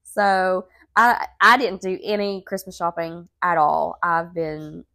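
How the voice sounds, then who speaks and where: A woman, co-hosting a podcast, nasal and congested from a sinus infection, chatty and quick to laugh. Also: fairly low pitch, little pitch movement, vocal fry, sharp tone. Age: 30s. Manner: chatty, laughs often, says y'all. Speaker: podcast co-host. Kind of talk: casual chat and storytelling.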